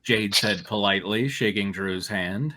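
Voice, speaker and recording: A man's voice: fairly low pitch, a little slow, pushed and bright. A man narrating a story as a scripted reading, with casual comic asides.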